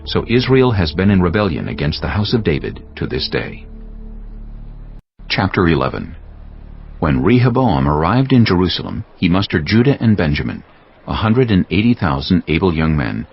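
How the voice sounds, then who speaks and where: Deep-voiced man narrating scripture. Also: slightly rough. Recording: slightly dull sound, music behind.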